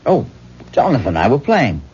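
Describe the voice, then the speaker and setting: An actor playing a self-absorbed, anxious husband who coaxes and evades in a 1970s radio drama. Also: male voice, medium pitch, wildly swooping pitch, a little fast, a little mellow, husky, full character voice. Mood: sheepish, defensive. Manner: coaxing, evasive, pleading. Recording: muffled, dull old radio broadcast.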